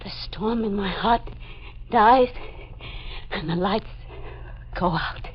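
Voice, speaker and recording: A woman's voice: medium pitch, slow pace, a little hiss or hum, natural voice. An actress playing a fragile, despairing young woman who yearns for escape, in theatrical 1940s radio melodrama. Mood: fatalistic. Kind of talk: 1940s radio horror acting. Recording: dull vintage radio recording with faint music.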